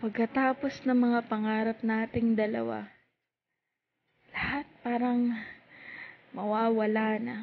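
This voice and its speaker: A woman with a Filipino accent performing heartfelt spoken-word poetry in short, deliberate phrases with pauses. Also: fairly high pitch, level tone, creaky, a little hiss or hum. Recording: tinny phone-like sound.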